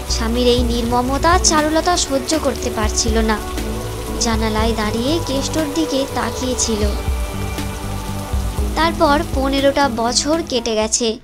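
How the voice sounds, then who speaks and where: A woman's voice: high voice, measured pace. A woman reading scripted storytelling narration for a moral cartoon. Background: music.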